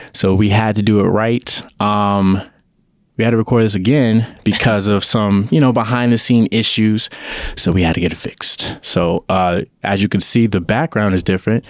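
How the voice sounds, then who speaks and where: A man's voice: lowish voice, no room sound, studio quality. A man hosting a podcast, chatting in a loose, laid-back way with streetwise slang. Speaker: podcast host. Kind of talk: casual interview chat. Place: indoor room.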